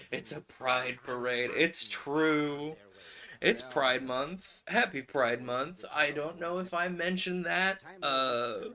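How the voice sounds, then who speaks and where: A man's voice: a little high, pushed and bright. A man streaming casually, chatting and thinking aloud as host while he works at a craft.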